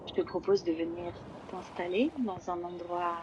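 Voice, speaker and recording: A woman teaching yoga nidra, leading a guided relaxation slowly, with long pauses between phrases. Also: fairly low pitch, highs cut off. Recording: loud steady ocean-wave noise behind voice. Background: sound of sea waves.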